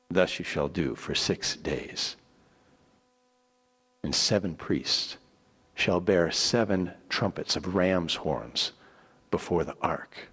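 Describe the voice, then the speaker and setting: A man playing a stern, solemn divine commander in a dramatic scripture reading, speaking slowly and gravely. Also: deep voice, a bit bright, voice pushed down, exaggerated voice. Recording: echoey hall with long reverb.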